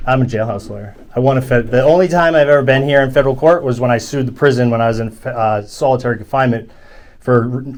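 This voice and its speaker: A man with a loud, excitable voice arguing legal points with officers in a court lobby, recorded on a handheld phone. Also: medium pitch. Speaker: activist recording his arrest. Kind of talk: casual argument with officers. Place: federal court lobby, indoors.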